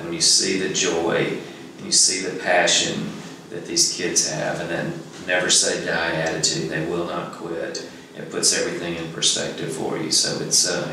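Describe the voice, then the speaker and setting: A middle-aged man with a very low, fairly even voice talks earnestly in an interview. He sits in a strongly echoing room and sounds like an executive explaining his work. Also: gravelly. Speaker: nonprofit executive director. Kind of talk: conversational interview. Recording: echoey room, distant mic, faint noise.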